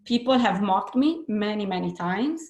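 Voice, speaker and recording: A woman from Italy with an Italian accent, a webinar panelist answering candidly with self-deprecating, halting restarts. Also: medium pitch, lively intonation, darkish tone, reverberant, dull audio.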